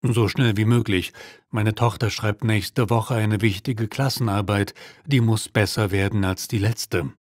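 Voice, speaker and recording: A man's voice: on the low side, fairly even intonation, a little slow, no echo, clean studio sound, lightly acted. A man playing a polite, businesslike father making an enquiry in a scripted language-lesson dialogue. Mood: courteous. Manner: courteous, businesslike. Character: polite father seeking tutoring for his daughter.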